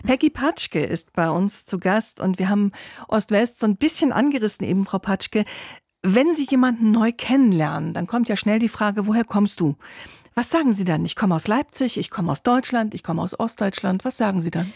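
A woman hosts a radio interview in a measured, composed way, pressing her guest with pointed questions. The recording is dry and close, without room sound. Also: mid-range voice, expressive, a little mellow, husky, dry recording. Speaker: radio host.

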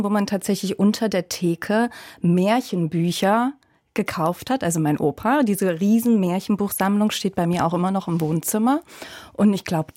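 A woman's voice: mid-range voice, a little mellow, no room sound, clean studio sound. A woman from eastern Germany chatting casually and reflectively as an interview guest. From Dresden, eastern Germany. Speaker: studio guest, bookseller.

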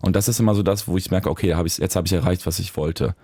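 A man, a musician, talking reflectively in an interview, searching for words with pauses and restarts. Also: low voice, fairly even intonation, mellow, dry recording, studio quality. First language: German. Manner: thoughtful, searching, with fillers and restarts. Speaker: musician guest.

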